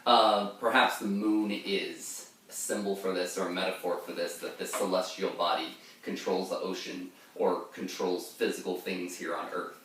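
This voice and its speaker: A man's voice: low voice, level tone, moderate pace, hardly any pauses, fairly bright tone. A man giving an informal, rambling line-by-line explanation of a poem in a casual way. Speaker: reader and commentator of poetry. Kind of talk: informal line-by-line poem commentary with read-aloud verse. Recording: very echoey room, far from mic.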